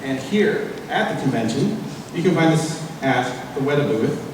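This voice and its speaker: A man's voice: mid-range voice, expressive, normal speed, background noise. A man hosting a convention panel, talking loosely with many fillers. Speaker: panel host. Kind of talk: casual presentation. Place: large convention hall. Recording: echoey hall, distant mic.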